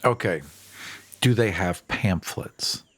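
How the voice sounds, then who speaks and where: A man's voice: deep voice, slow pace, dry recording. A man playing a tabletop game, chatting casually with wry, quipping remarks.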